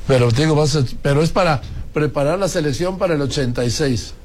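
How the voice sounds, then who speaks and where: Casting: older male interviewer, Mexican accent, voice a touch higher than average, conversational. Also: fast talker, a little mellow, slight background noise. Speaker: interviewer, host.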